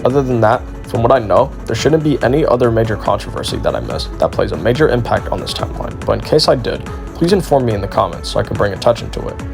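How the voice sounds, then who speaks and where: A man's voice: medium pitch, lively intonation, fast talker, vocal fry, over music. A man narrating a scripted exposé video as its commentator.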